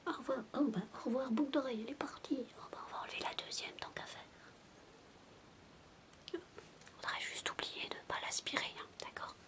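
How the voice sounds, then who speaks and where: A woman doing soft-spoken ASMR storytelling, playful and whispery, wandering into chatty asides as if to a child. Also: sits high, brisk, pauses often. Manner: playful babysitter addressing a child listener. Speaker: ASMR roleplay performer.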